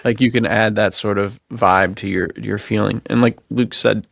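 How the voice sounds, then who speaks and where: Creaky-voiced man, slangy podcast chat. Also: lowish voice, moderate pace, dry recording, clean studio sound. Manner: slangy, excitable bro talk. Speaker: podcast co-host. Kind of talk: casual, enthusiastic chat between friends.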